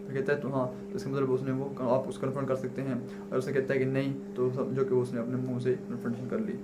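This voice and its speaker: A man with a Pakistani accent giving an informal, didactic lecture, mixing in English legal terms and answering his own questions. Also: mid-range voice. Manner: didactic, asks questions and answers them himself. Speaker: law lecturer. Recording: noisy recording with clear hum.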